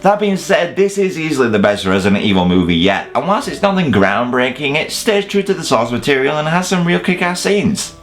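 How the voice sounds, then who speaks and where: A man's voice: a little high, sing-song, fairly quick, few pauses, soft background music. A man hosting a countdown review, delivering semi-scripted commentary in a jokey, casually sweary way. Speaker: video reviewer and host.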